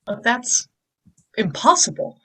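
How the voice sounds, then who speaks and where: A woman's voice: fairly high pitch, big pitch swings, slow pace, fairly bright tone, full character voice, rough recording. A woman playing a panicky, disbelieving passenger in a sci-fi drama table read. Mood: alarmed, indignant. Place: online video call.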